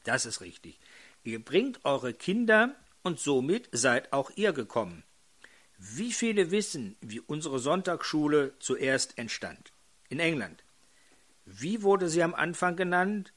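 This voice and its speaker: An older man preaching a sermon in a hoarse voice, putting questions to his congregation. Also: a little high, very animated, a little slow, fairly bright tone.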